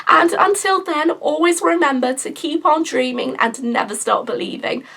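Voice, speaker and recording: A young woman talks to her viewers in a casual vlog, earnest and a little repetitive, restarting her sentences. Her voice sits high and sounds bright and sharp, even though her throat is sore and she is losing her voice. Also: moderate pace, gravelly. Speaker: YouTuber. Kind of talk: casual vlog talk to camera.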